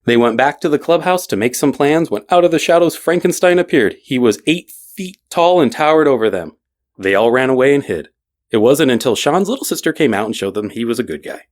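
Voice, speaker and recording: A man's voice: medium pitch, expressive, brisk. A man reading a scripted bedtime story as narrator.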